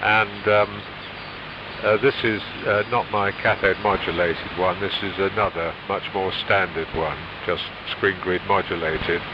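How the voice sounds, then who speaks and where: A man speaking as an amateur radio operator in a measured, polite, conversational way over a radio transmission. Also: low voice, fairly even intonation. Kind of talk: casual ham radio chat. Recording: hissy muffled AM shortwave radio. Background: radio hiss.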